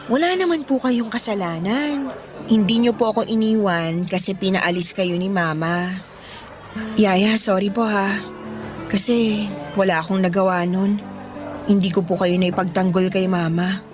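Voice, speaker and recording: A woman's voice: medium pitch, measured pace, faint music behind, natural voice. Woman acting as a respectful, burdened young Filipina. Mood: apologetic. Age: young adult. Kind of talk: Filipino radio drama acting.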